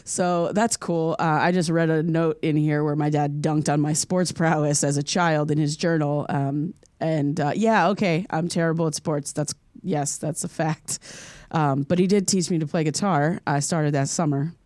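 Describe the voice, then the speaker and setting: Halting, wry woman podcaster, musing aloud, acted. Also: sits low, fairly even intonation, a little mellow, dry recording, studio quality, lightly acted. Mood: wry, reflective. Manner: halting, muttering asides to herself. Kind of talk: naturalistic audio drama monologue, reading aloud and musing.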